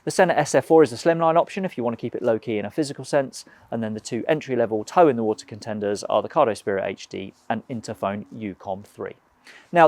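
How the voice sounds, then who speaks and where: A man giving a brisk, practical scripted review of motorcycle intercoms for a gear shop. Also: mid-range voice, darkish tone, no echo.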